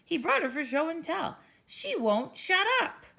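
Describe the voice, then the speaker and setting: A woman's voice: high-pitched, sing-song, a little slow, vocal fry, pushed and bright, pitched above the performer's own voice, heavily acted. A woman voicing a flustered female schoolteacher who sounds exasperated.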